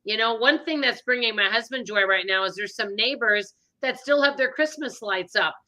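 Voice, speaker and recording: A woman's voice: on the high side, moderate pace, sharp tone. A woman with a Minnesota accent, hosting a casual live-stream chat in an upbeat, rambling way. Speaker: channel host.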